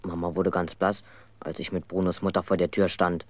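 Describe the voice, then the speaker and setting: A man's voice: sits low, flat delivery, normal speed, dry recording, lightly acted. A man narrating a children's radio play as a mischievous, aggrieved schoolboy telling his own story. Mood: wry, aggrieved.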